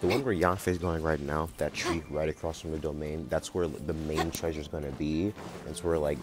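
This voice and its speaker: A man's voice: rumbling low, steady tone, moderate pace. A man giving a casual, chatty game walkthrough, talking informally to his viewers. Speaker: game guide video creator. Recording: music behind the voice. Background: game music and dialogue.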